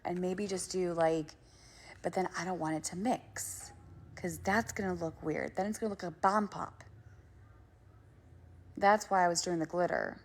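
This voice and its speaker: A woman's voice: on the low side, moderate pace, pauses often. A woman from Florida livestreaming, thinking aloud and chatting casually while she works on a craft, with a rambling manner and casual swearing.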